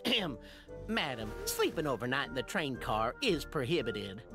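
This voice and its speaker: A man's voice: mid-range voice, sing-song, moderate pace, music in the background, lightly acted. A man voicing a fussy, deferential little toy train conductor in a video game, courteous and formal. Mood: stern. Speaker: game character voice. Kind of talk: animated game voice acting.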